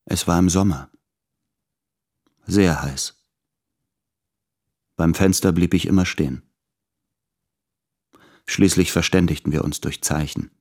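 An actor playing a guilt-ridden, fearful office clerk in a literary radio drama, speaking in a measured, introspective way. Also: male voice, sits low, a little mellow, breathy edge, heavily acted. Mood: confessional recollection.

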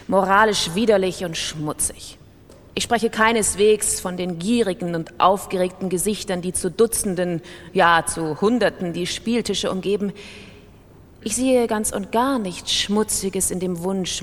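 A woman reading as a compulsive gambler in a literary radio drama, analytical, scornful and self-justifying, with deliberate, emphatic phrasing. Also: mid-range voice, lightly acted. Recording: long hall reverb.

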